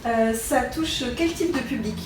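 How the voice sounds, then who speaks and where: Woman interviewer, higher voice, echoey room, music underneath, mic far off. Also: a little slow. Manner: friendly, short prompting questions. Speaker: show host. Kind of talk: casual interview.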